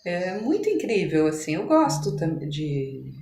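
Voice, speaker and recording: A woman's voice: fairly low pitch, very animated, a little slow, darkish tone. An older woman with a São Paulo Brazilian accent, telling her life story conversationally as an interview guest. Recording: roomy echo, noticeable background ambience.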